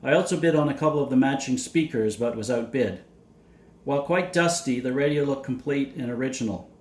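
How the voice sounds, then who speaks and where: A man narrating a scripted technical explanation in a measured, matter-of-fact way, as a hobbyist presenter. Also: mid-range voice, darkish tone. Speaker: vintage radio hobbyist and presenter.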